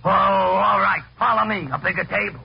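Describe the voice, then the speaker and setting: Casting: put-upon, sardonic waiter for vintage radio comedy, high gravelly voice, muffled old broadcast. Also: male voice, fairly even intonation, moderate pace, a little hiss or hum. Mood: sarcastic. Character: sarcastic, impatient male restaurant waiter. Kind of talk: 1940s radio comedy acting.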